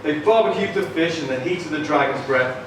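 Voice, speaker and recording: A man's voice: a little high, brisk, noisy background. A man telling a scripted puppet-show story as narrator at a live performance. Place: live puppet theatre show, far from mic. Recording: echoey hall, distant mic, loud music.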